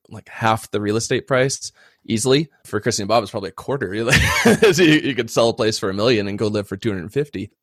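A man's voice: fairly low pitch, no room sound, studio quality. A man from Canada, a finance blogger, talking in an easygoing, self-deprecating way in a casual panel discussion. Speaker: personal finance blogger and podcast guest.

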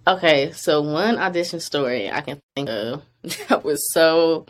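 A young actress talks through her work in a loose, chatty way, laughing often and leaning on "like" as she goes. Her voice sits on the low side for a woman but swoops up and down with a lot of life. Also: lively intonation, measured pace. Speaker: actress guest. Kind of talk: casual interview chat.

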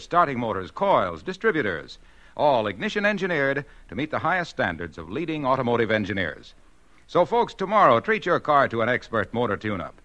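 An American man delivering a scripted 1940s radio commercial in a crisp, salesmanlike manner on a vintage broadcast. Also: mid-range voice, sharp tone. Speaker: commercial announcer.

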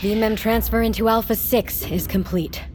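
Actress as brisk, insistent woman lab scientist. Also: fairly high pitch, steady tone, background noise, lightly acted. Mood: brisk, procedural. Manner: direct, questioning. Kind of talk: naturalistic science fiction audio drama acting.

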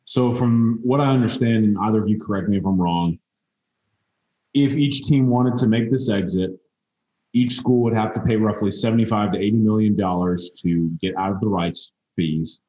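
Low dull-sounding man on a roomy line. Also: moderate pace, warm tone. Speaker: podcast panelist. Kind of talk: casual sports chat.

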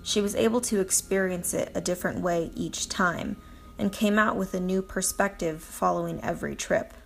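A woman reading a scripted voice-over narration in an even manner. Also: on the low side, normal speed, gravelly, soft background music.

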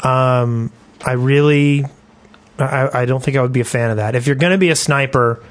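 A man's voice: medium pitch, steady tone, moderate pace. A man co-hosting a podcast, talking in casual, conversational chat. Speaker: podcast co-host.

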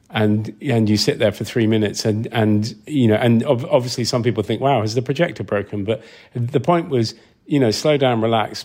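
Low-voiced man explaining his craft haltingly. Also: a little mellow. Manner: hesitant, thoughtful, halting with repeated words. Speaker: film sound designer, interview guest. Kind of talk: conversational interview explanation.

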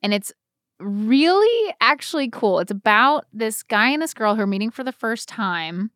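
An American woman co-hosting a podcast, telling personal stories in a casual, animated, slangy and self-deprecating way. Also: fairly high pitch, moderate pace, no echo, studio quality. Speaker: podcast co-host. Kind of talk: casual chat, personal storytelling.

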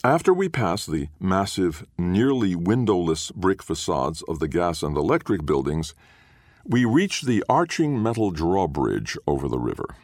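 Male narrator, extremely low bass voice, dry close sound, formal literary audiobook read. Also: sing-song, a little mellow, dry recording. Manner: measured, formal, deliberate reading.

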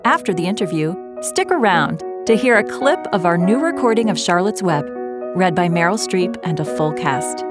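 A woman's voice: on the low side, lively intonation, moderate pace. A woman podcast announcer delivering a scripted introduction. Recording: loud music behind voice. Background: instrumental music.

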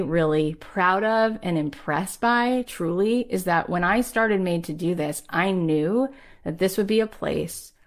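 A woman in her thirties, a podcast host giving an emphatic, rallying motivational talk in a conversational way. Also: on the low side.

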